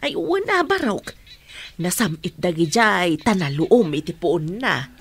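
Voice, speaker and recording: A woman playing a gentle, caring mother of a grown son in a radio drama. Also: fairly low pitch, very animated, a little slow, breathy edge, full character voice.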